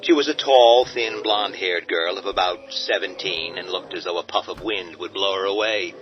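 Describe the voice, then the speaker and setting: A man giving measured, dramatic scripted narration of a horror radio drama. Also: low-pitched, background noise. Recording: dull lo-fi audio with faint music.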